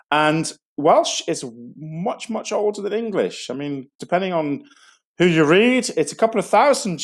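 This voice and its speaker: Enthusiastic man leading a school assembly. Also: fairly high pitch. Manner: enthusiastic, digressive, speaks directly to students. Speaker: school leader. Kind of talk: online school assembly talk.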